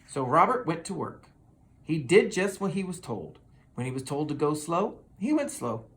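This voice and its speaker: A storybook reader tells a children's tale aloud. The pitch moves around in a lively way, and the delivery is expressive and dramatic. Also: normal speed. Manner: expressive, with dramatic sound words.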